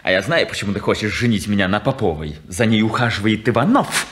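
A man's voice: lowish voice, expressive, fast, a bit bright, slight background noise. An actor playing a sulky, scornful young man who stammers, in a 1950s radio play.

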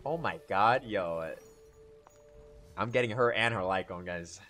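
A young man streaming live reactions to game cutscenes in casual slang, excitable and exclamatory. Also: lowish voice, unhurried. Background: game music.

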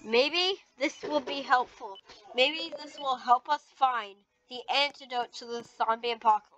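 A woman's voice: high voice, normal speed, fairly bright tone, dull audio, a little hiss or hum. A woman improvising game roleplay chat, hesitant, often trailing off and repeating phrases. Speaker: player in a Roblox roleplay video.

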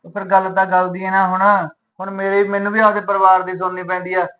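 A harried young son and husband, played by a man, pleads and reasons in quick bursts. His voice is high for a man. It sounds bright and pressed. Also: completely flat delivery, rough recording. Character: hard-pressed son caught between wife and mother. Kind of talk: Punjabi family drama acting.

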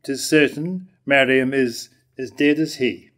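Older man as slow, grave messenger. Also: mid-range voice, fairly bright tone, close to an everyday voice. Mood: grave, firm. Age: older, 60s. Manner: measured, deliberate. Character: grave messenger bearing news of the queen's death. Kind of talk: staged reading of Jacobean verse tragedy. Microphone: lectern microphone.

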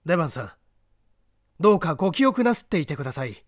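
A man's voice: a little high, very animated, a little fast, no echo, clean studio sound, pitched above the performer's own voice. A man reading an audiobook, voicing a proud, iron-willed detective in a grave, declamatory manner. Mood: grave resolve.

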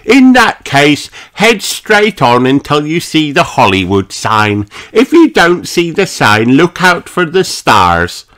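A man voicing a cheerful, helpful female rabbit from a children's storybook, high-pitched with a folksy manner. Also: wildly swooping pitch, takes it slowly, few pauses, fairly bright tone, exaggerated voice.

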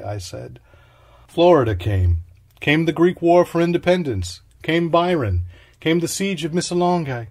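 A man's voice: on the high side, darkish tone. Man giving a declamatory literary reading. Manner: declamatory, incantatory short phrases. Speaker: reader of a literary short story. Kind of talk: dramatic literary reading, scripted narration.

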